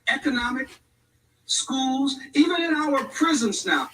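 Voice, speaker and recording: Casting: bright, high-voiced man, impassioned pastor, recorded far off. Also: expressive, measured pace. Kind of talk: public speech clip.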